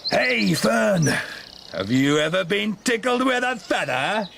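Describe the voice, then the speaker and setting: A man's voice: high-pitched, big pitch swings, slow pace, full character voice. An actor playing a kind, playful middle-aged father and birdwatcher in a naturalistic radio drama. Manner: gentle, plain-spoken, teasing. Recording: clearly noisy outdoor ambience with birdsong.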